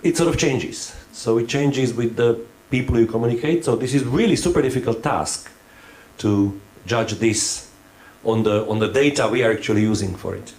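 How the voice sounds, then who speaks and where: A man's voice: medium pitch, moderate pace, a little mellow. A man who is not a native English speaker, giving a hands-on workshop lecture. He thinks aloud and halts often, restarting his sentences.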